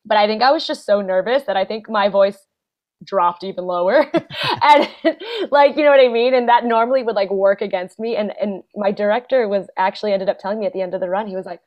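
A young adult woman, a guest actor and playwright, chatting casually in an interview, full of fillers and quick laughs. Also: medium pitch. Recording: dull lo-fi podcast audio.

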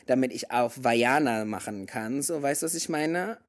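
A man's voice: medium pitch, normal speed. Guy in his 30s chatting away, german speaker who drops into english bits a lot, loose and talky. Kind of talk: casual conversation.

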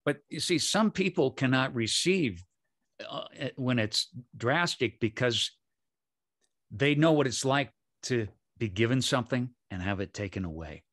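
Male guest, calm and reflective, mid-range voice. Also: mid-range voice, a little slow. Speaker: podcast guest. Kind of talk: casual interview conversation.

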